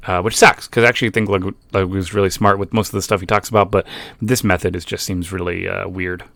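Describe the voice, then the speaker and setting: Skeptical low-voiced man rambling. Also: steady tone, quick pace, vocal fry, fairly bright tone. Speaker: YouTube commentator. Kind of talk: casual reaction commentary.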